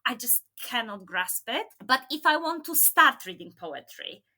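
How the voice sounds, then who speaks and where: Bright, eager woman host, Polish native. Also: a little high, sing-song, measured pace. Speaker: podcast host. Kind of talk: interview hosting.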